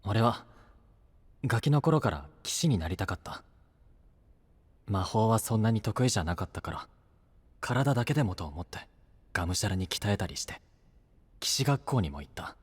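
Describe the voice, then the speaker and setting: An actor playing a brash, rough-talking young knight and younger brother in an anime-style voice drama. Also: male voice, fairly low pitch, big pitch swings, moderate pace, pauses often, long reverb tail. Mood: confessional, pained. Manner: blunt, rough masculine speech.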